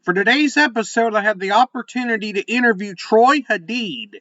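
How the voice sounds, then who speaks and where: A man's voice: sits high, animated, brisk, a bit bright, dull audio. A man hosting a podcast, reading a scripted introduction and asking questions from a list with hesitant "uh"s. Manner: reads from a list, hesitant "uh" between phrases. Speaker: podcast host.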